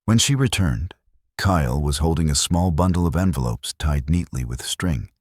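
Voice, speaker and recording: Very deep-voiced male storyteller. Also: lively intonation, moderate pace, darkish tone, husky, no room sound, studio quality. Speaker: storyteller narrator. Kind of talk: scripted audiobook narration of a Western romance.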